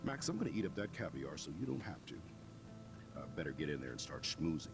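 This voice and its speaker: Deep-voiced, hearty school principal. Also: male voice, very animated, fast, over music, full character voice. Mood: hearty, encouraging. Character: middle-aged male school principal, grumbling but encouraging, self-important. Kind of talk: video game voice acting.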